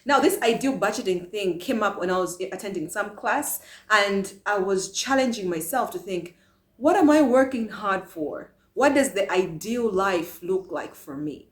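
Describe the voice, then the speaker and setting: A woman's voice: mid-range voice, lively intonation, normal speed. A woman from Malawi giving a passionate motivational talk to camera, asking many rhetorical questions. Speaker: vlogger. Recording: echoey room.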